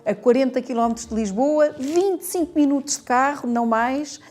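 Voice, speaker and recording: A woman's voice: sits high, moderate pace, darkish tone. A woman speaking informally as a local guide, presenting her city.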